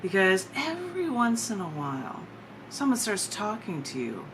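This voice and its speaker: A woman poet giving a live reading of her work, chatting in between and pausing deliberately for drama. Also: mid-range voice, huge pitch swings. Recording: clearly noisy recording.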